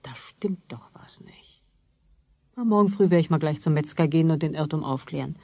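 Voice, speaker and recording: An older actress playing an honest, fussing grandmother in a radio play, with a husky voice. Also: deep voice, moderate pace, mellow, no room sound. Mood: indignant.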